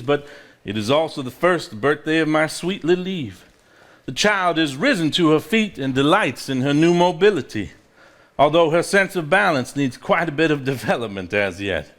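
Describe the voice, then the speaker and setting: A man acting in a live stage play as a sentimental reader of a grieving father's old diary, measured and formal. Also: fairly high pitch, expressive, a bit bright. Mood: wistful, fond. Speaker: stage actor.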